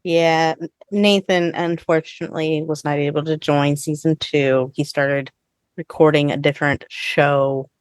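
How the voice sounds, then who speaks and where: Low-voiced woman podcast host. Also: steady tone, a little slow, clean tone, no echo. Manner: conversational, frequent "like". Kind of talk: casual chat.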